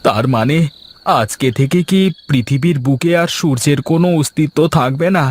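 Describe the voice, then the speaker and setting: A man voicing an excitable, puzzled young village farmer in a fairy-tale cartoon, repeating phrases and exclaiming, with music behind. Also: medium pitch, normal speed, lightly acted. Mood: amazed.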